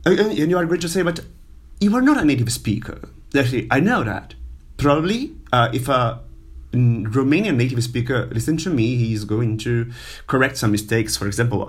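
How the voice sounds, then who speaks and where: A man who is not a native English speaker giving an informal language lesson, halting and full of fillers, repeating words for the learner. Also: mid-range voice. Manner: slow, halting, many fillers and repetitions. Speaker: language teacher.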